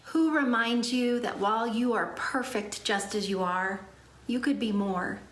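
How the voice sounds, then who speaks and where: High-voiced woman giving a reflection, roomy sound. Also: unhurried. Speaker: church service speaker. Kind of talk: prepared inspirational reflection.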